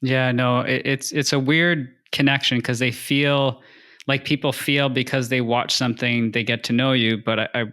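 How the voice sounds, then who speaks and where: Bright-voiced man hosting a podcast. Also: medium pitch, little pitch movement, normal speed. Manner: rambling, piles up rephrased questions. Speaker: podcast host. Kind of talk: casual interview chat.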